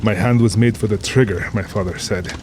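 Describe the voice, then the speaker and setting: A man's voice: fairly low pitch, a little fast, a little mellow, slight background noise, close to an everyday voice. A man playing a cultured, proud general and big-game hunter in an audio drama, speaking formally and boastfully with polished self-assurance. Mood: grandiose, reminiscing. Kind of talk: full-cast audio drama acting.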